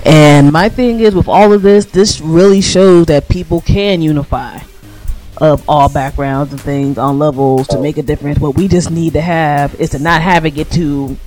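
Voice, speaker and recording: American guy on a radio show, kinda high voice, slangy and emphatic, music playing behind him. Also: steady tone, moderate pace, darkish tone. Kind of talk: casual political commentary.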